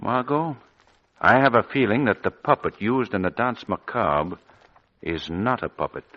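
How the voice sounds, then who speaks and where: An actor playing an urbane, composed gentleman detective with polite, measured diction in a 1940s radio drama. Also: on the low side, expressive. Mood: suspicious. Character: suave, inquisitive, composed gentleman sleuth. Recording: muffled old radio drama, music behind.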